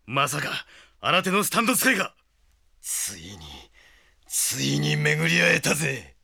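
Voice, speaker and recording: A hot-headed French swordsman in an audio drama. The voice is forceful and fairly high, breaking into gravel, brash and intensely dramatic. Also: very animated, a little slow, heavily acted. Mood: vengeful. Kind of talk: Japanese audio drama acting.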